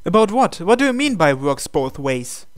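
Let's play host dubbing a visual novel hero; unhurried, mid-pitched, plain. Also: very animated, no echo, faint music behind. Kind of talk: reading visual novel lines aloud in English.

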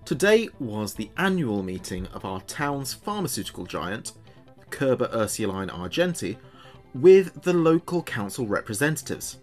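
A man's voice: mid-range voice, very animated, moderate pace, lightly acted. A man playing a chatty small-town late-night radio host in an audio drama, with playful, wry patter. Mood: newsy, chatty. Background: background music.

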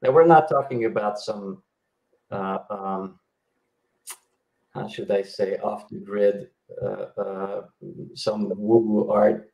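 Gravelly middle-aged man on a video call. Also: on the low side, steady tone, slow pace, warm tone, roomy sound, rough recording. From Yugoslavia. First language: Hungarian. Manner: explanatory, many fillers and restarts. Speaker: guest, bioenergy healer. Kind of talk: interview over video call. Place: indoor home room.

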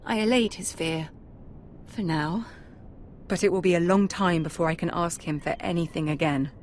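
An actress playing a poised, cautious young noblewoman in a fantasy video game, measured and formal in manner. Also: fairly low pitch, a little mellow, slight background noise, close to an everyday voice. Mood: cool, weary. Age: young adult. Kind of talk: dramatic video game acting.

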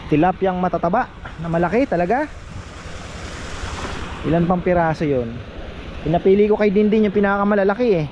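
A man's voice: sits high, fairly quick, long pauses, lo-fi sound. A man giving a casual farm tour, chatting to his viewers as fellow farmers. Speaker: farm vlogger. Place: outdoors on a farm. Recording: noisy outdoor phone recording.

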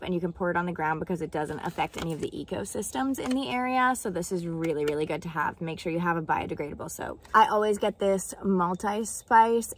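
A woman's voice: fairly low pitch, a little hiss or hum. Chatty young woman vlogging into her phone. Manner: chatty, upbeat, lots of "like" fillers. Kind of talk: casual vlog chat. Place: in a car, then outdoors by a car hatch.

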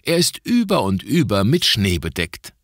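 A man's voice: on the low side, huge pitch swings, normal speed, dry recording, studio quality. A man narrating a children's audio play from a script.

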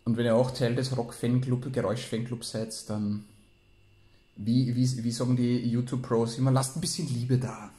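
A man's voice: mid-range voice, flat delivery, normal speed, warm tone. A man chatting casually and playfully as co-host of a reading show, rambling as he goes. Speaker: co-host who reads old science fiction aloud. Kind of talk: casual podcast chat.

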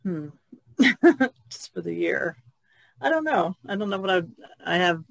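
A woman's voice: medium pitch, big pitch swings, measured pace, gravelly, dry recording, low-quality recording. A woman artist chatting informally on a podcast, tentative in how she puts things. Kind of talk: casual podcast chat.

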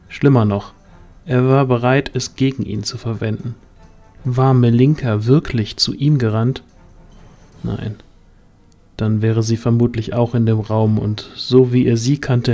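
A man's voice: medium pitch, darkish tone. A man narrating a scripted fantasy audio drama as the storyteller.

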